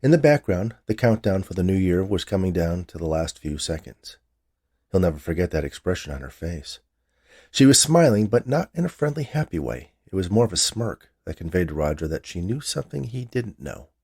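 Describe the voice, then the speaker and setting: Husky man narrating with lively intonation. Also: low voice, fairly quick. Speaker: writer reading own story. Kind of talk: short story narration.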